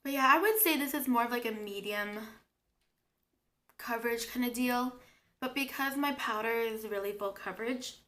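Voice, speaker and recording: A woman's voice: fairly high pitch, fairly bright tone. Chatty young woman with a stuffy cold. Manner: chatty, explains each step as she works. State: congested from a cold, sore throat. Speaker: beauty vlogger. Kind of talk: casual makeup tutorial.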